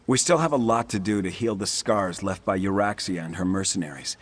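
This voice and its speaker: An actor playing a gruff, dutiful military officer in a fantasy game, with a brisk, businesslike delivery. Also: male voice, deep voice. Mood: brisk, proud.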